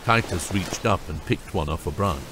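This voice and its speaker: A man's voice: sits low, normal speed, background noise. A man narrating a fantasy audiobook from a script as its storyteller.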